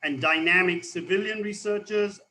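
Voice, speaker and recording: Older Indian man, bright formal delivery. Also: high voice, normal speed. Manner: elaborate, formal wording. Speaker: guest speaker, retired naval officer. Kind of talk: formal expert talk.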